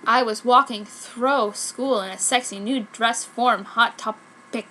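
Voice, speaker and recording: A woman's voice: a little high, steady tone, measured pace, sharp tone, slight background noise, rough recording. A woman narrating fan fiction in a mock-serious dramatic style, with exaggerated emphasis and stumbles over words.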